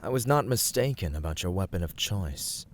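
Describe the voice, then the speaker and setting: Male actor as teasing young villain for ASMR roleplay: low, unhurried, formal and condescending, slight background noise. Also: lively intonation. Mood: proud, commanding. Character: smug, teasing young male villain, dungeon-keeping warlord. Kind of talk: ASMR roleplay, scripted dramatic monologue.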